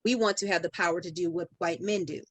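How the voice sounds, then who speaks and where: A woman's voice: on the low side, steady tone, fast, dry recording. A woman speaking as a podcast guest in conversation, emphatic and building her points through repeated phrases.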